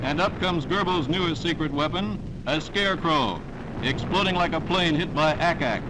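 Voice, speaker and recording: A man's voice: a little high, normal speed, very noisy. A man narrating a 1940s newsreel in a dramatic, declamatory scripted style, on a vintage soundtrack.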